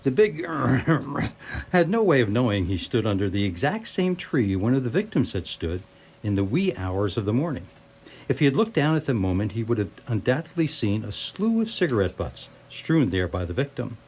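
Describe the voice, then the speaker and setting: A man's voice: mid-range voice, very animated, moderate pace, darkish tone, husky, rough recording. A man narrating a radio play in a theatrical, wry storytelling manner.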